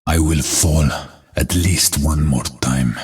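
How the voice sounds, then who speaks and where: An actor playing a weary, resolute warrior who accepts death, speaking solemnly in a husky voice as a video game character. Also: male voice, very deep voice, a little mellow, faint music behind, heavily acted. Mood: weary, accepting. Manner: solemn, measured delivery.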